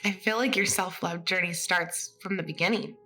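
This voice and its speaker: A woman in her twenties speaks in a thoughtful, upbeat way. Music runs clearly underneath her. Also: lowish voice, fairly even intonation, moderate pace, bright, forceful tone. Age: 20s. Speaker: interviewee. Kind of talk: reflective interview.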